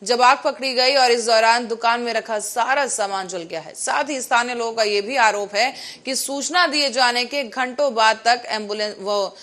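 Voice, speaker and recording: A woman's voice: on the high side, moderate pace, few pauses, fairly bright tone. A woman news anchor reading scripted headlines and reports.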